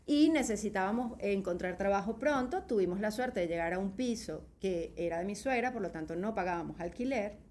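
A woman's voice: medium pitch, animated, fairly quick, few pauses, a little mellow, slight background noise. A middle-aged woman with a Venezuelan accent, telling personal stories in a casual interview, emphatic and often checking that the listener follows. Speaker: podcast guest.

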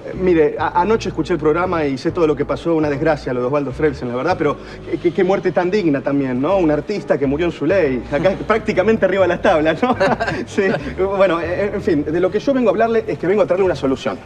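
An actor with a Rioplatense Argentine accent playing a pushy, flustered agent who stammers and repeats himself in a period drama. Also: male voice, fairly high pitch, fast talker, a little mellow, natural voice. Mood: solemn, persuasive. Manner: stammering, repeating words insistently. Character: pushy, flustered smooth-talking male agent pitching a singer.

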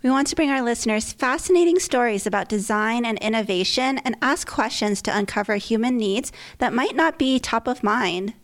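A young woman from California co-hosting a podcast in a warm, casual way. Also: a little high, animated, moderate pace, hardly any pauses. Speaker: podcast co-host.

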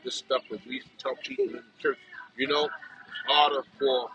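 A man's voice: medium pitch, wildly swooping pitch, brisk, breathy edge, dull audio. An American man in his seventies giving casual, preacherly advice in conversation, emphatic and repeating phrases for effect. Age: 70s. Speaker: podcast guest.

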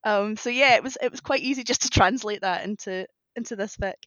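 A woman's voice: medium pitch, brisk, fairly bright tone, no echo, rough recording. A woman guest on a podcast talking casually and chattily about her own writing, explaining as she goes. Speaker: podcast guest, fan fiction writer.

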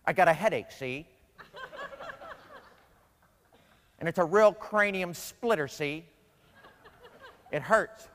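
A man's voice: fairly high pitch, animated, a little slow, fairly bright tone, long reverb tail, slight background noise. A man voicing a nervous, whiny soldier who talks like an old-time movie gangster, repetitive and agitated, in a comedy sketch. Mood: pained, brooding. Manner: repetitive, agitated, tags phrases with "see". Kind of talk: comedic Bible story sketch.

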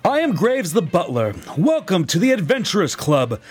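An actor playing an eccentric, formal club butler in an audio drama, greeting in a ceremonious singsong. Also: male voice, high voice, huge pitch swings, moderate pace, soft background music. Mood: grand welcome.

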